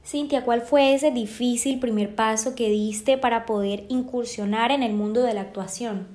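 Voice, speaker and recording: A woman hosts an interview and asks her guest friendly, measured questions. Her voice sits a little high. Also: steady tone, darkish tone. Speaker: interviewer host.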